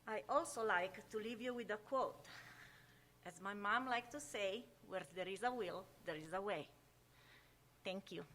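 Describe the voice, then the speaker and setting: A woman's voice: mid-range voice, unhurried, fairly bright tone, slight background noise. A woman speaking at a meeting's public comment, delivering an upbeat prepared statement. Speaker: parent giving public comment.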